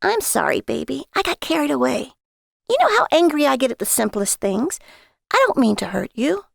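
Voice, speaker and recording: Give the wife a high, emphatic voice , acted out by a woman narrator. She is a sharp-tongued, volatile character in an audio story, heard in a dry recording. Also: big pitch swings, moderate pace, vocal fry, no room sound, heavily acted. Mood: coaxing. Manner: exclamatory, emphatic.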